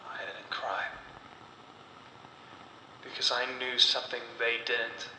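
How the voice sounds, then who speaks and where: A man voicing a bitter, defiant condemned woman in a horror story, speaking gravely with deliberate pauses. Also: mid-range voice, completely flat delivery, bright, forceful tone. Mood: grim, defiant. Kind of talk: slow dramatic horror storytelling. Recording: echoey, long reverb, background noise.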